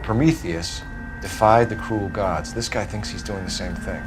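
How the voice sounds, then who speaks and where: An actor playing an earnest, principled police detective in a video game, speaking in a serious, clipped manner. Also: male voice, sits low, normal speed, over music, close to an everyday voice. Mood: grim, urgent. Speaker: detective character. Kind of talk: video game cutscene acting.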